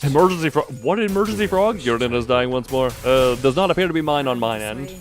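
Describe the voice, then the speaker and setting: A man's voice: mid-range voice, expressive, brisk. A man giving live gameplay commentary with a wry, sarcastic manner and playful exclamations. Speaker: game streamer. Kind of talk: live gameplay commentary, reading dialogue aloud. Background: game music.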